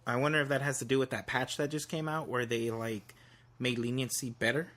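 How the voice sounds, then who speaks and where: A man's voice: mid-range voice, steady tone, fairly quick, fairly bright tone. A man co-hosting a casual podcast, talking in an informal, slangy way with little asides. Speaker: podcast co-host. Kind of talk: casual group podcast chat.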